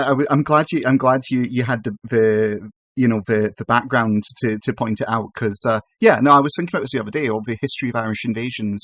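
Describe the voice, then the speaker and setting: A male podcast host speaks in an ordinary mid-pitched voice. His exasperated, swearing delivery keeps breaking into restarts. The recording is very dry and close, with no room sound. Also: no room sound. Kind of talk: casual podcast chat.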